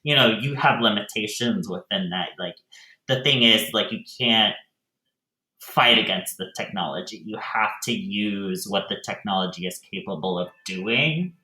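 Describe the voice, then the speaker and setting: A man gives casual, thoughtful interview answers as a director. His voice is on the low side and bright, and he feels his way haltingly through sentences. The sound is roomy and echoey.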